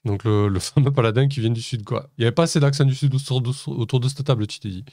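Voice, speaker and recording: A man's voice: medium pitch, quick pace, darkish tone, no room sound. A man narrating as game master of a tabletop game, hesitant, with many fillers and restarts.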